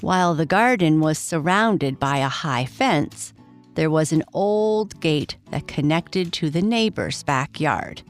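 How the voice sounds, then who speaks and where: Lady reading a bedtime story for kids, takes her time, quiet guitar underneath. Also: low-pitched. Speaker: children's story narrator. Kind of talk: scripted bedtime story narration.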